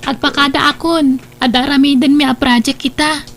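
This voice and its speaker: Very high, cheerful Ilocano schoolchild woman acting. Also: fairly even intonation, moderate pace, fairly bright tone. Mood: cheerful, fond. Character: cheerful, affectionate schoolchild taking leave of mother. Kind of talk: Ilocano audio drama acting.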